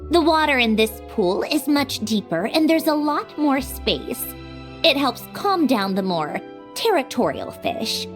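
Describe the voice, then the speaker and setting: A woman's voice: sits high, very animated, measured pace, over music. A woman playing an aloof, teasing young woman with dry wit and a curt, haughty manner in an anime game.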